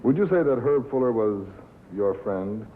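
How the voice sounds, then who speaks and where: An actor playing a dry, businesslike radio reporter, asking clipped, polite questions in a 1950s film. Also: male voice, on the low side, lively intonation, normal speed, lightly acted. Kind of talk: 1950s film acting, interviewing. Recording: tinny, noisy 1950s film soundtrack.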